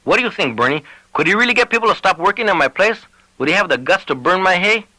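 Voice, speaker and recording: A man's voice: high voice, animated, quick pace, pushed and bright, no room sound, voice pushed up, full character voice, rough recording. A man voicing an anxious, blustering landowner in an audiobook, agitated and prone to stammering. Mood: nervous. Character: blustering, anxious male landowner, easily rattled.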